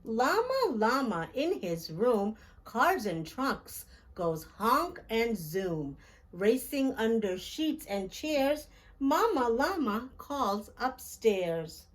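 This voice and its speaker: Lady teacher doing a kids read-aloud, slowish, voice a bit high, expressive phrasing. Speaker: teacher, storytime host. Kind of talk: children's picture book read-aloud.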